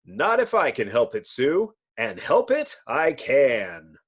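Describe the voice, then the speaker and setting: A man acts the thoughtful leader of a superhero team. He speaks earnestly and dramatically, with pitch moving widely. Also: wildly swooping pitch, slow pace, husky, dull audio, exaggerated voice. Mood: determined.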